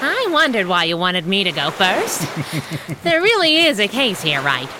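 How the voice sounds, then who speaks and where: A woman's voice: medium pitch, huge pitch swings, measured pace. Teasing masked adventurer wife in old radio serial, swingy intonation, snappy, noise behind her. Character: fearless wisecracking female masked adventurer, teasing, loyal wife. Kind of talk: 1930s pulp radio-style drama acting.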